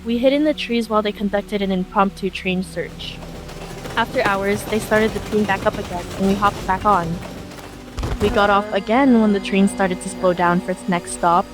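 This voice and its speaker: A young female leader in a webtoon drama, acted by a woman, speaks in a mid-pitched voice with music behind her. She is responsible and worried, steering her group with firm authority. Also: steady tone, normal speed, lightly acted. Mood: narrating, weary. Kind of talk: webtoon fan dub voice acting.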